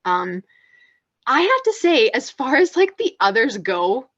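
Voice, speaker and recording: A woman co-hosting a casual panel discussion, chatty and full of fillers and restarts, with a low voice she calls masculine. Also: sing-song, unhurried, a bit bright, no echo, dull audio. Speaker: podcast co-host.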